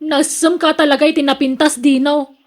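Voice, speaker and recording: High-pitched jealous Ilocano wife, acted by a woman. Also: little pitch movement, moderate pace, natural voice. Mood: jealous, sarcastic. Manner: accusing, scolding, sarcastic. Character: jealous, nagging, suspicious wife of a working man. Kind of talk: radio drama acting.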